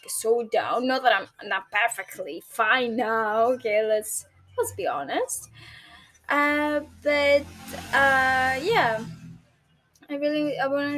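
A woman in her early twenties from Greece with a Greek accent, chatting casually as a podcast host in a rambling, hesitant way. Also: fairly high pitch. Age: early 20s. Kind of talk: casual solo podcast chat. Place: bedroom at home. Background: chill R&B background music.

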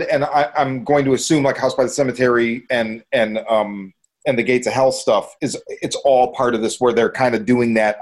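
Enthusiastic, rambling man hosting a podcast. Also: medium pitch. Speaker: podcast host. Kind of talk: casual review chat.

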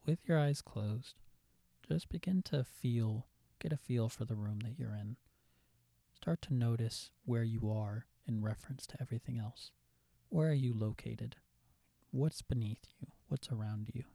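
A soft-spoken man leading a guided meditation with gentle, soothing, calm instructions. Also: medium pitch, unhurried, gravelly, dry recording. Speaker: meditation guide, podcast host.

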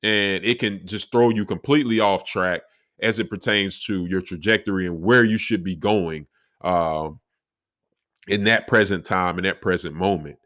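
A young man with a deep voice talking reflectively on his solo podcast, with faith woven into his talk. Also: fairly even intonation, normal speed, fairly bright tone. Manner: reflective, faith-filled, conversational. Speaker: podcast host.

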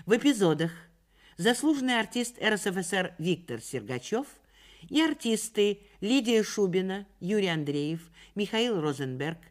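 A woman announcer reading scripted radio play credits in a formal, deliberate manner. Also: medium pitch, sing-song.

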